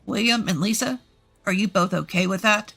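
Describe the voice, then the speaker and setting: A woman voicing a calm, firm older husband and father in a narrated family story. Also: mid-range voice, moderate pace, creaky, breathy edge, faint music behind, exaggerated voice.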